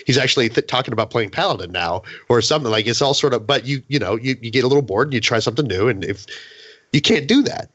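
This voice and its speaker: A man's voice: mid-range voice, lively intonation, fast talker, a bit bright, husky, dry recording, dull audio. A man in a casual gaming podcast discussion, speaking in an emphatic, opinionated and hyperbolic way. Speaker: podcast panelist.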